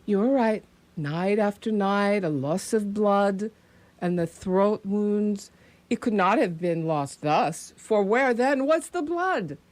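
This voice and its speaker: A woman playing a grave, insistent male professor in a radio play, with deliberate, formal phrasing. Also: mid-range voice, lively intonation, darkish tone. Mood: grave, ominous.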